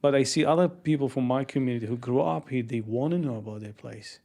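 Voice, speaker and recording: Mellow man talking in halting restarts. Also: mid-range voice, gravelly. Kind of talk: casual podcast conversation.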